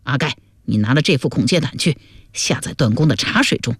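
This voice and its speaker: A man's voice: mid-range voice, very animated, moderate pace, husky, dry recording, clean studio sound. An actor playing a stern, scheming middle-aged king and father in a historical audio drama, coaxing and commanding.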